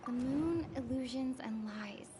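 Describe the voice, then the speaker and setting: A woman's voice: high-pitched, a little slow. A woman game streamer reading game text aloud and hesitantly puzzling over it. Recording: clearly noisy stream audio.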